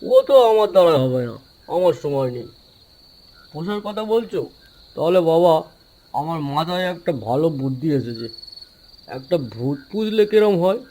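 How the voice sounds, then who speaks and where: A man's voice: on the high side, animated, normal speed, long pauses, warm tone, natural voice. A man voicing a lazy, headstrong and naive young village son in a cartoon. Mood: scheming.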